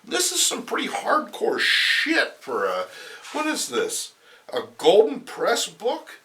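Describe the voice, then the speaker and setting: A man's voice: high-pitched, huge pitch swings, slow, sharp tone. A man giving a theatrical, comedic narration as he reads a comic book aloud.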